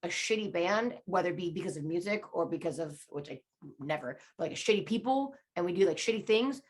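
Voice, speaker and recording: A woman with a naturally low voice, talking candidly and rambling as a band guest in a casual interview. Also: lively intonation, brisk. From Southern California. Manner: rambling, candid, lots of fillers.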